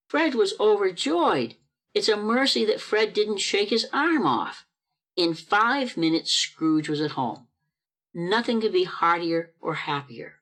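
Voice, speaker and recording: Measured radio play narrator. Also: mid-range voice, fairly bright tone. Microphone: video call.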